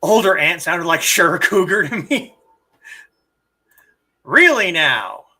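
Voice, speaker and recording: A middle-aged martial arts teacher chatting casually on a live stream, reading viewer comments and sounding out words with animated emphasis. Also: medium pitch. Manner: animated, drawn-out demonstrations of word sounds.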